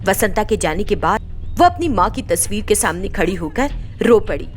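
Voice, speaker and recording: A woman's voice: medium pitch, expressive, moderate pace, soft background music. A woman giving a scripted narration of a moral story.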